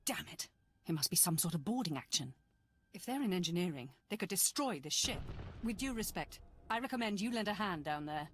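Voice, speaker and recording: A woman playing a brisk, steely imperial warship officer in a video game, clipped and commanding. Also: lowish voice, expressive, fairly bright tone, slight background noise. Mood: urgent, commanding. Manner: clipped, commanding, deferential to superiors.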